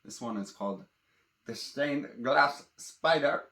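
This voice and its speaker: A man's voice: medium pitch, slow, a bit bright. A man telling a fantasy adventure story in a dramatic, theatrical way, addressing listeners directly. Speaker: storyteller and author reading his own fantasy tale. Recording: roomy echo.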